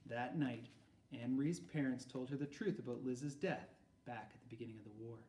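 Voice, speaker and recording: A man reads a story aloud as narrator, with an expressive delivery. His voice is mellow and medium in pitch. It is heard a little away from the microphone in an echoey room. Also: brisk, slight background noise. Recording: roomy echo, far from mic.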